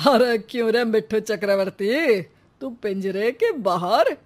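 An old father from an animated cartoon, played by a performer, upright and easily exasperated. He chides and moralizes like the head of the household. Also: medium pitch, measured pace, close to an everyday voice. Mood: teasing. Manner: chiding, moralizing. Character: principled, exasperated elderly father, grey-haired family patriarch.

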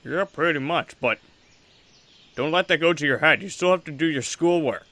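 Bright-voiced easygoing dad played by a man. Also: on the high side, moderate pace. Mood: explaining. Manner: relaxed, conversational, reassuring. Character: easygoing fatherly veteran exorcist, proud but firm. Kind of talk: improvised Minecraft anime roleplay.

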